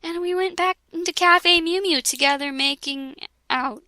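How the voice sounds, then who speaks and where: A woman's voice: very high-pitched, normal speed, pushed and bright, no room sound, clean studio sound. A young woman reading a fan fiction aloud for laughs, breaking off into jokes and mock-dramatic voices. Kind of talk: comedic dramatic reading with chat.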